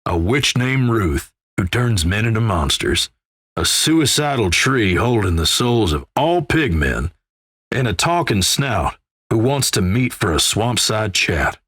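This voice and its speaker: A man playing a gruff, folksy, wry Old West narrator in a video game, with a gravelly American Western drawl and measured, ominous storytelling. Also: on the low side, sing-song, a bit bright, dry recording, heavily acted. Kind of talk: dramatic second-person scripted game narration.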